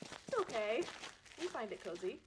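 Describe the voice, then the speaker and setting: A woman plays a sly, sinister radio-play woman with a high voice and very lively pitch. She draws out her mock sympathy in false sweetness. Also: moderate pace, noisy background. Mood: sly, inviting.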